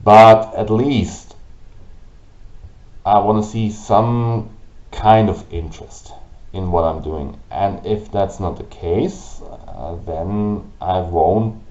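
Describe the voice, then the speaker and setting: A man with a German accent, whose first language is German, hosting a gaming channel and ranting slowly and haltingly about it to camera. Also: fairly low pitch, darkish tone. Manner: halting, with restarts and pauses. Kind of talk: frustrated rant to camera. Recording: roomy, dull lo-fi audio.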